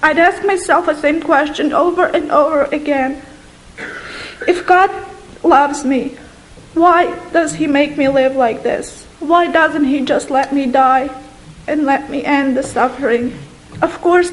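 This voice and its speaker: High-voiced woman from Bosnia, slow and earnest. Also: rough recording. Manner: slow, earnest, with long pauses. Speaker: guest giving a testimony. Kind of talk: slow personal testimony.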